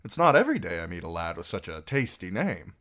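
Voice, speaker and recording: A man's voice: deep voice, big pitch swings, fast, a bit bright, heavily acted. A man voicing a wry, genial, roguish wandering singer in an audiobook, teasing and wheedling as he draws out his words. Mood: genial, sly.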